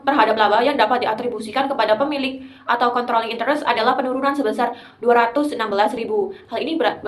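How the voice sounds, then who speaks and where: Young female student presenter, quick delivery, explanatory and partly read, recorded with noticeable room echo. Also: fairly high pitch. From Indonesia. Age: young adult. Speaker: university accounting student presenting. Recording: roomy echo.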